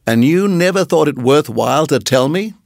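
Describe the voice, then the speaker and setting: A man voicing a brave young male mongoose who speaks briskly and impatiently. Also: on the high side, animated, creaky, no echo, clean studio sound. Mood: urgent, impatient.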